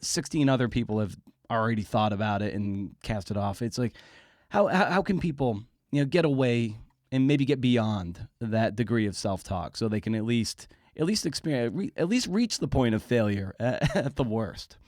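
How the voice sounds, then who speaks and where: A man hosting a podcast interview in a casual way, haltingly repeating words as he builds his point. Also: mid-range voice, expressive, a little mellow, dry recording, studio quality. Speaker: podcast host interviewing an author.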